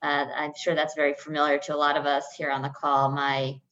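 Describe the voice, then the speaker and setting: A woman hosting an online author event, introducing guests in a slightly halting way over a video call. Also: low voice, monotone. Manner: warm, slightly scattered, halting. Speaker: host. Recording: dull lo-fi video call audio.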